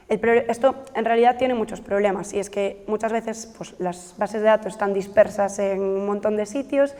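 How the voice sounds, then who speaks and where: A woman's voice: on the high side, a little mellow. A woman from explaining a technical project to trainees, with frequent fillers and self-corrections. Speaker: researcher presenting a project. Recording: long hall reverb.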